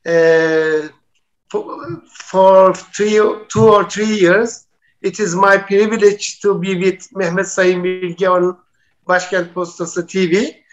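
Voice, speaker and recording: A man who is a native Turkish speaker, co-hosting and interpreting on a live interview programme in a halting, pausing way. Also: high-pitched, little pitch movement. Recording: dull, muffled lo-fi sound.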